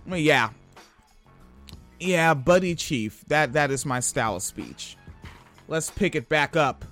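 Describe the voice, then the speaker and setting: A young man from Washington State with a high-pitched voice, hosting a podcast and reading news stories aloud with rambling, profane commentary and frequent laughs. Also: animated, a little slow, fairly bright tone, faint music behind. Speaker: podcast host.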